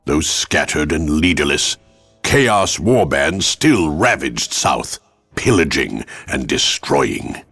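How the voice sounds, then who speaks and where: An actor voicing a grave, portentous narrator of a dark war chronicle in a fantasy game, in dramatic scripted style. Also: male voice, a bass voice, very animated, slow pace, breathy edge, dry recording, soft background music, heavily acted. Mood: grave, ominous.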